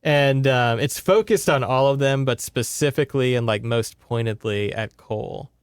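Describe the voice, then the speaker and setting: A man's voice: mid-range voice, moderate pace, clear, resonant tone, no echo, clean studio sound. A man co-hosting a podcast, recapping a book in a rambling, conversational way with sardonic asides. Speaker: podcast co-host. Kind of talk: casual book recap chat.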